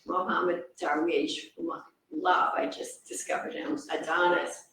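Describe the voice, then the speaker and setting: A woman's voice: fairly low pitch, little pitch movement, measured pace, vocal fry, a bit bright. A woman hosting an event, reading out an introduction of the speakers with informal asides. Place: reverberant indoor event room. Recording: echoey room, distant mic, faint noise.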